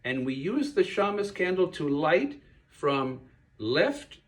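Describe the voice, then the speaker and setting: A man voicing a friendly pig puppet who explains things haltingly to a child in a puppet show. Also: a little high, big pitch swings. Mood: friendly, instructive. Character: friendly kosher pig puppet teaching a child about Hanukkah.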